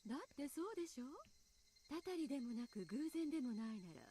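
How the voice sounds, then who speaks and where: An eerie, playful woman in a Japanese visual novel. She speaks in a very high, quick voice, teasing and insinuating like someone who enjoys dark riddles. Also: expressive, a little hiss or hum.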